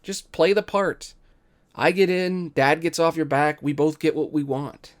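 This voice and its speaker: A man's voice: a little high, measured pace, voice pushed up, lightly acted. A man voices an ambitious young brother in a storytelling podcast. He is eager and persuasive, pressing his case in a slightly raised voice. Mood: urgent coaxing. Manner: urging, coaxing.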